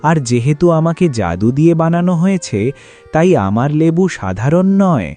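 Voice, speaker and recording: A man voicing a kindly, grateful magical lemon tree in a cartoon fable, speaking in a measured way. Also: on the high side, very animated, warm tone, faint music behind. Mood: kindly, reassuring.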